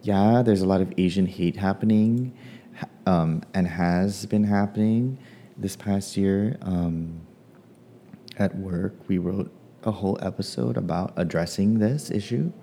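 Man, casual musing, deep mellow voice, soft guitar behind; lots of ums, unhurried. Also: a little hiss or hum. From Hawaii. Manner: hesitant, many ums and pauses. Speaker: playwright. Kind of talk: casual personal reflection.

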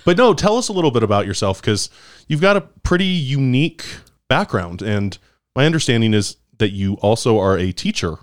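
A man co-hosting a casual interview, jokey and teasing with frequent laughs. His pitch swings up and down a lot as he talks. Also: very animated, fairly quick. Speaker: co-host.

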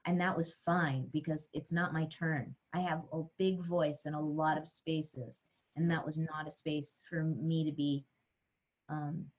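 A woman's voice: deep voice, flat delivery, measured pace, muffled recording. A middle-aged woman, a podcast guest, telling her story in an earnest, frank way. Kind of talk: candid interview talk.

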